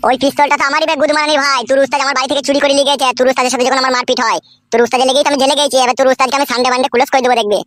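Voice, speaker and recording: A boastful male street thug from a comic cartoon played by a woman, far lower than the player's usual squeak. He swaggers and threatens in rapid speech. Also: fairly even intonation, fairly bright tone, no echo. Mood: menacing.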